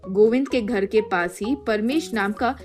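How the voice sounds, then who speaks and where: A woman's voice: mid-range voice, measured pace, darkish tone. A woman narrating a scripted moral story, with music behind her.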